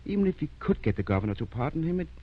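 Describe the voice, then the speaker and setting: An actor playing a kindly, determined older country doctor in an old-time radio drama, measured and earnest. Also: male voice, a little high, big pitch swings, slightly rough. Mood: grave. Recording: dull vintage radio recording.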